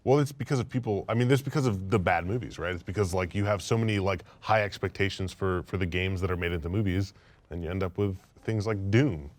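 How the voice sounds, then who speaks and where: A man's voice: deep voice, fast talker, no room sound. A man talking casually as a panelist in a group chat.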